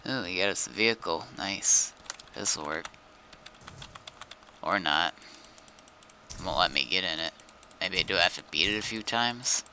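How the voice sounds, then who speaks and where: A man with a deep, sharply bright voice talks through his game as he plays. He sounds laid-back and thinks aloud, with faint noise behind him. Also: a little slow, pauses often. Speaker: game streamer. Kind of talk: casual gaming chat.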